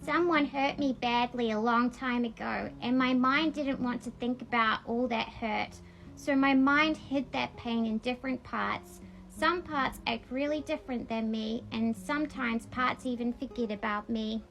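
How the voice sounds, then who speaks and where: A woman's voice: fairly high pitch, steady tone, unhurried, gravelly, a bit bright, muffled recording. A woman reading aloud in a childlike storybook manner, with a casual, homemade read-along style. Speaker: vlogger reading a picture book aloud.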